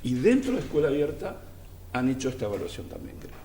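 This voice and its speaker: Guy on a panel, emphatic, repeats his points, warm voice, bit of room echo. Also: mid-range voice, big pitch swings, fast talker, a little hiss or hum. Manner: earnest, emphatic, builds arguments with repetition. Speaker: education specialist guest. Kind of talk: panel discussion.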